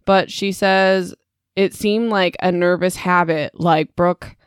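A woman's voice: fairly low pitch, fairly even intonation, slow pace, clear, resonant tone, no room sound, studio quality. A young adult woman hosting a podcast, recapping a novel in a chatty, rambling way with self-deprecating asides. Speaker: podcast host. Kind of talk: casual book recap podcast.

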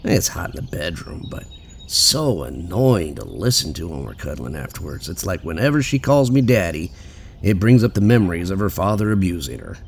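A smug, crude cop acted out by a man in an audio drama, cocky and offhand. His voice is low and creaky with lively pitch swings, over faint outdoor ambience. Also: moderate pace, a little hiss or hum, exaggerated voice. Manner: casual, cocky, brushing people off. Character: smug, crude, dismissive male police detective.

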